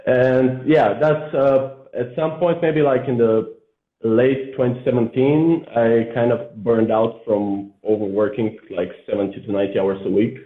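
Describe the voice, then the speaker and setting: A man's voice: mid-range voice, steady tone, a little slow. A Bulgarian-born man, a photographer, giving long rambling interview answers full of fillers. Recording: echoey, tinny phone-like sound.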